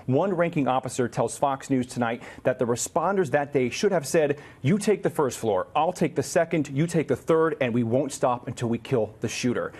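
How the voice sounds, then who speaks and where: A man's voice: mid-range voice, lively intonation, normal speed, hardly any pauses, darkish tone, husky. A man with an American accent delivering a scripted news report as a correspondent.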